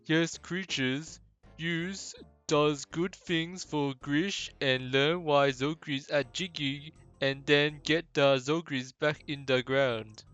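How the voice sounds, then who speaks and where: A man plays an earnest, simple-minded video game ogre with a slightly raised, clean voice. He talks slowly in childlike broken grammar, cautioning whoever listens. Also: a little high, fairly even intonation, a bit bright, clear, resonant tone, no echo, soft background music, voice pushed up. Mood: earnest, instructing. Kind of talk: hobby game voice acting.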